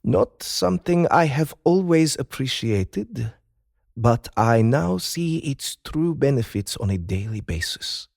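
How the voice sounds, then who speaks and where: Icy Russian head of state, audiobook drama. Russian accent, unhurried and formal, expressive intonation. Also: male voice, mid-range voice, creaky, no room sound, studio quality, heavily acted. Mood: stern. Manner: deliberate, formal, measured. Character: cold, formal, menacing male Russian president.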